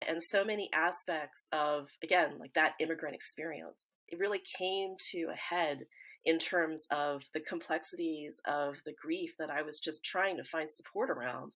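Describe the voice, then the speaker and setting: A woman from the US East Coast, a guest in an interview, speaking in a reflective, measured way with frequent fillers and restarts. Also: low-pitched, a bit bright, poor audio. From East Coast of the United States. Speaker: interview guest, grief support founder.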